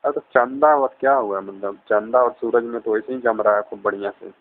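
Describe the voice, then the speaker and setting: A man's voice: on the low side, steady tone, a little fast, slight background noise. Young man on a tinny phone line. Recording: tinny, band-limited phone call recording.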